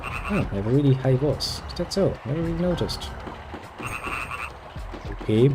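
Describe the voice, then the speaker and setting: A man's voice: mid-range voice, expressive, moderate pace, noisy background. A man reading game dialogue aloud and adding dry, sarcastic jokes as he plays. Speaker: gameplay video host.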